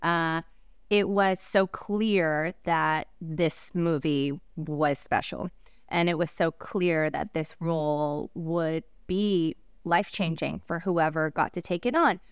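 A woman, a native Korean speaker, talking reflectively as an actress in an interview, halting with fillers and soft laughs. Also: deep voice, fairly even intonation, clear, resonant tone, dry recording, studio quality.

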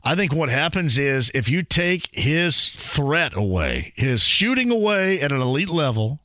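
A man's voice: on the high side, very animated, unhurried, sharp tone, dry recording, studio quality. A male sports radio host making his case earnestly and with emphasis.

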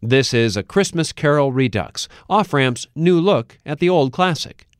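A man's voice: fairly high pitch, lively intonation, measured pace, smooth, no room sound. A man hosting a radio show, reading scripted narration into a close studio mic.

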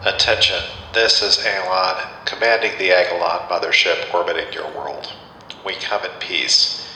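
Guy playing solemn alien mothership commander, deep and bright, formal audio play speech, echoey. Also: slight background noise. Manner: formal, measured proclamation.

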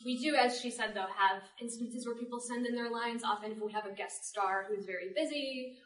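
A woman's voice: fairly high pitch, brisk, far from the mic. A woman moderating a panel in an informal way. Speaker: panel moderator and ensemble member. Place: public library panel room. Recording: roomy library panel, off-mic.

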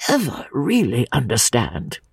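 Storybook old man, as a narrator acts him: quavering, breathy, halting, gentle and sad, very close dry sound. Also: medium pitch, wildly swooping pitch, dry recording, full character voice. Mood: earnest, tender. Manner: slow, halting, sighing.

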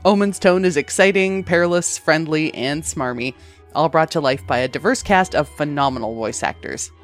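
Low-pitched woman, podcast intro. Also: normal speed. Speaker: podcast producer introducing an episode. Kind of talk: scripted promotional narration. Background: background music.

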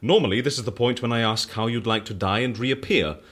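An actor playing a quirky male case handler at a disappearance agency in an audio drama, husky, with quaint exclamations and dry businesslike asides. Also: medium pitch, brisk, fairly bright tone, lightly acted. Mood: curious, businesslike.

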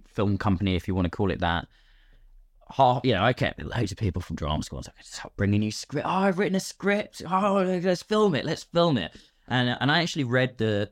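British guy on a podcast, lowish voice, really animated and chatty, dry close sound. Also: no echo. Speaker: guest, actor and filmmaker. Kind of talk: casual podcast interview.

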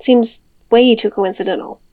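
A woman's voice: fairly high pitch, normal speed. A woman acting as a friendly, teasing mom in an audio drama, talking casually through a phone call filter. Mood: intrigued. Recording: dull, band-limited phone call sound.

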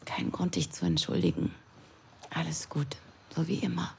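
An actress playing a friendly, easygoing young babysitter in an intimate audio drama, speaking softly in a hushed voice. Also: female voice, low voice, sing-song, normal speed, creaky, whispered, full character voice. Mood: sleepy, soft.

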